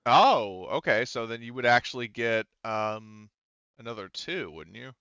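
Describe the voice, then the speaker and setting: A man acting as game master, casually narrating and thinking through rules aloud in a halting manner. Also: mid-range voice, lively intonation, pushed and bright, clean tone, dry recording, muffled recording.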